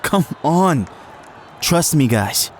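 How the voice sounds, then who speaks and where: A man's voice: fairly high pitch, very animated, drawn-out words, some chatter behind, slight background noise, heavily acted. A man acting as a young college quarterback who narrates an audio drama in an earnest, emotive first person. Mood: confident, fired up. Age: young adult. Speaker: narrator and lead character.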